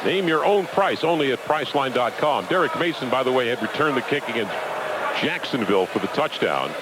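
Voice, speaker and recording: A man's voice: mid-range voice, animated, fairly quick. A man giving live play-by-play sports commentary as an announcer on a radio broadcast. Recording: very noisy AM radio broadcast recording.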